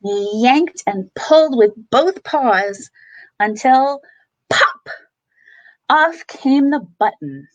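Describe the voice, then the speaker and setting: Female children's storyteller, bedtime read-aloud: measured pace, animated intonation, dramatic pauses. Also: sits high, lo-fi sound. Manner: expressive, with dramatic pauses and emphasis. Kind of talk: bedtime picture-book reading for children.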